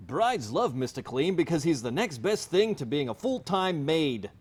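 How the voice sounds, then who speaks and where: An actor playing an affable, teasing husband who gripes about work in a staged comic play. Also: male voice, a little high, sing-song, normal speed, breathy edge. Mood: playful. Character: affable, teasing working husband griping about his boss.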